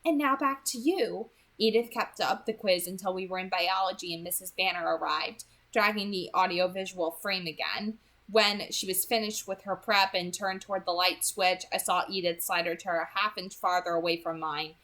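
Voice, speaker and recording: A woman's voice: on the low side, steady tone, moderate pace, few pauses, fairly bright tone, poor audio. A woman reading a novel aloud as a hobby audiobook narrator, telling the story expressively.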